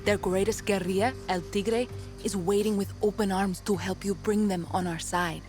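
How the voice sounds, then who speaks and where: A woman playing a resolute, earnest guerrilla leader in a video game, giving a firm, measured, commanding briefing. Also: mid-range voice, steady tone, darkish tone, natural voice. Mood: determined, grave. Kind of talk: video game character acting. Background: music.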